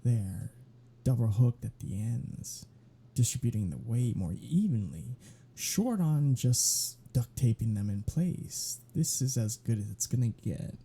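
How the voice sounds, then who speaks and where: A man playing a sly, flirtatious vampire in an ASMR roleplay, with a sardonic, teasing drawl that often drops to breathy murmurs. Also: mid-range voice, lively intonation, measured pace, heavily acted. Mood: casual, wry. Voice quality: husky, breathy, dark. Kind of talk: ASMR roleplay audio drama.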